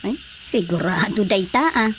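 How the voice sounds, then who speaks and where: A woman with an Ilocano accent playing a chatty, excitable village secretary in a radio comedy drama. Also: mid-range voice, a little slow, slight background noise, close to an everyday voice.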